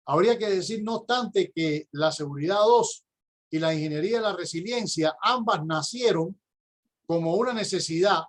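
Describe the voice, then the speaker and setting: A middle-aged man with a Cuban accent delivers a prepared conference talk, his voice sitting notably high for a man. He explains step by step in a measured tone and pauses now and then to find the next word. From Cuba. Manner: measured, explanatory, with occasional hesitations. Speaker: university professor and conference speaker.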